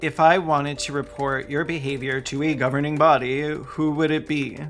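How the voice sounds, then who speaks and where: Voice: medium pitch, fairly even intonation, normal speed, a bit bright, faint music behind. A sarcastic, indignant video essayist who swears while delivering scripted investigative narration.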